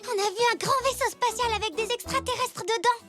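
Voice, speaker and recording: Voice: extremely high pitch, a little fast. A performer voicing an eager, playful little zebra child in a French children's cartoon. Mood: excited. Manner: eager, exclamatory. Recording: music behind the voice.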